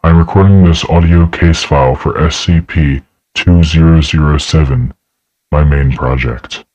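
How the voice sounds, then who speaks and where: A man playing a grave research director, narrating a horror case file in a formal, measured way. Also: a bass voice, a little mellow, no echo. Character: grave male research director logging a dangerous entity.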